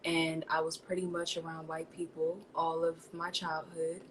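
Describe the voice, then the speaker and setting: New woman guest, expressive and spiritual, lowish voice, video call. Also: normal speed, a little hiss or hum, rough recording. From New York, United States. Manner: spiritual, expressive, talks in lofty phrases. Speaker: guest, chef and seasoning maker. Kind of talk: interview answers.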